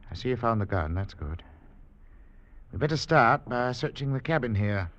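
An actor playing a grave, commanding ship's captain in an old-time radio drama. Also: male voice, medium pitch, animated, moderate pace, fairly bright tone, close to an everyday voice. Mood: grim. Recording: muffled old radio recording, faint noise.

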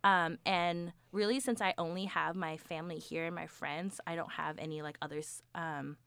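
Earnest young woman, smooth dry voice. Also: lowish voice, steady tone, moderate pace, fairly bright tone, no echo. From California. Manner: earnest, full of fillers like "um" and "like". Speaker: guest. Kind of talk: casual interview answers.

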